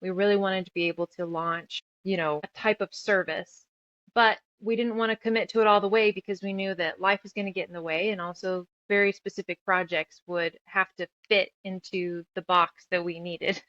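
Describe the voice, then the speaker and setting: A woman's voice: mid-range voice, fairly even intonation, moderate pace, fairly bright tone, no room sound, muffled recording. A woman from the United States speaking as a creative guest in a casual interview, talkative and reflective as she rambles through her thoughts. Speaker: guest, author, musician and audio drama creator.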